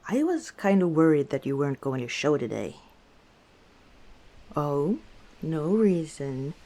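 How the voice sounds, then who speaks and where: A woman playing an easygoing, teasing female orc tavern owner in an unhurried ASMR roleplay. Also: low-pitched, sing-song, mellow, full character voice. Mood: amused, teasing. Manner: unhurried, playful teasing with frequent chuckles. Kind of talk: ASMR roleplay, one side of a conversation.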